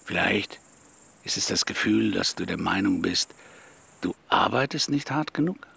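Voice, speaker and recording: Radio play confidant: calm, probing man, fairly low with heavy fry, unhurried deliberate phrasing. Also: heavily acted. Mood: probing, advising. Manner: deliberate, gentle, halting phrases. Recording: clear background noise with clicks.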